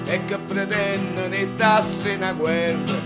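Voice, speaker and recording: A woman's voice: mid-range voice, slow. A woman with a southern Italian accent singing and reciting folk songs as part of a theatre show. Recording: loud guitar music around the voice. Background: loud acoustic guitar accompaniment.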